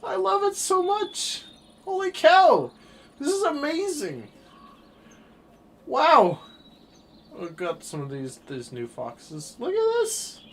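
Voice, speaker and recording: A twenty-year-old man streaming a game and chatting casually, rambling through his thoughts with sudden bursts of excitement. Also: extremely high pitch, slow, pauses often. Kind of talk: casual chat while livestreaming a game.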